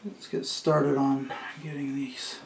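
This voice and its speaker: A man in his fifties talks unhurriedly through a repair at his workbench, half to himself, with easy asides. His voice comes from a camera mic a few feet away, and steady workshop noise and tool clicks sit behind him. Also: medium pitch, slow pace, gravelly. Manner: relaxed, talks to himself while working, cheers at small wins. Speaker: antique radio restorer. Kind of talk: hands-on workshop commentary. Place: home workshop.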